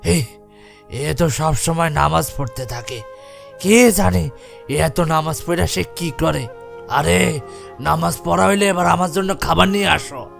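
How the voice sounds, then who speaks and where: A man voicing a bitter, irritable husband and father in a moral cartoon, gruff and accusing, with a gravelly voice. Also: fairly high pitch, a little slow, fairly bright tone, faint music behind, full character voice. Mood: irritated. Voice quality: gravelly, creaky. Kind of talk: dubbed moral cartoon acting.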